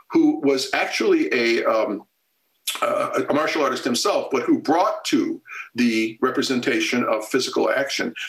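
A man answering interview questions at length in a measured, lecture-like way, with frequent pauses and fillers. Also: medium pitch, a bit bright, echoey room, rough recording. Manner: measured and expository, many fillers and restarts. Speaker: film scholar guest.

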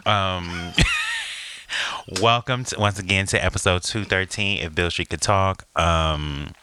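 A man co-hosting a podcast in casual chat, playful and laughing often, jumping between loud bursts and quiet asides. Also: deep voice, huge pitch swings, takes it slowly, slight background noise. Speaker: podcast co-host.

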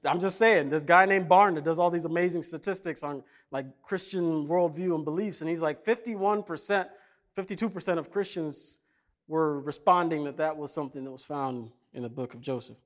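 A man's voice: on the high side, normal speed. A man from Kenya preaching a casual, jokey sermon and telling stories. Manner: conversational, jokey, animated. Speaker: pastor.

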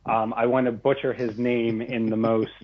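A man's voice: medium pitch, little pitch movement, moderate pace. A young man guest on a podcast, talking enthusiastically and with self-deprecating humour. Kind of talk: casual podcast chat. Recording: tinny phone-like call audio.

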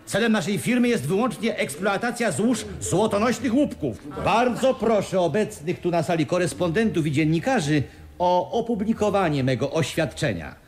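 An actor playing an evasive, commanding mine owner who speaks formally and emphatically in a radio play. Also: male voice, high-pitched, animated, moderate pace, darkish tone. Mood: formal, emphatic. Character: evasive, commanding male mine owner hosting a press conference. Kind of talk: 1980s Polish radio play acting.

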